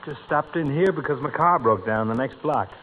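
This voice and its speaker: An actor playing a weary, sardonic town doctor, dry and mock-pompous, in 1940s radio comedy. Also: male voice, mid-range voice, moderate pace, lightly acted. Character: weary, sardonic middle-aged town doctor, florid wisecracker. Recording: tinny old radio broadcast with hiss.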